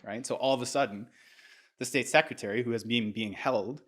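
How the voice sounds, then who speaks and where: A man hosting a podcast, telling history in a casual, animated way, peppered with "like" and "right?". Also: medium pitch, brisk. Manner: animated, filler-heavy, keeps checking in with "right?". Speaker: podcast host.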